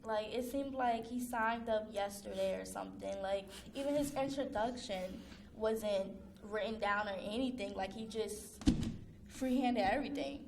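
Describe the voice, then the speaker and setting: A woman's voice: mid-range voice, normal speed, creaky, noisy background. A young woman, a student news correspondent, speaking bluntly and casually in a panel discussion, with lots of "like". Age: young adult. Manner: blunt, uses "like" a lot.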